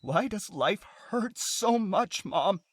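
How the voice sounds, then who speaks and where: An actor playing a grieving, devoted adult son in a game drama, speaking earnestly and vulnerably. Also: male voice, high-pitched, expressive, takes it slowly, breathy edge, heavily acted. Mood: anguished. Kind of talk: emotional dramatic game voice acting.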